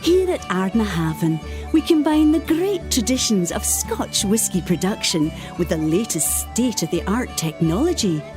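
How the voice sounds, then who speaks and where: A woman playing a polished distillery presenter delivering a scripted promotional talk in an audio drama. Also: a little high.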